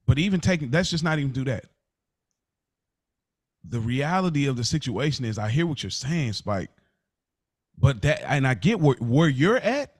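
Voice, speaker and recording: A man's voice: mid-range voice, big pitch swings, normal speed, long pauses. A man co-hosting a podcast in casual debate, making points emphatically and answering his own questions. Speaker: podcast co-host.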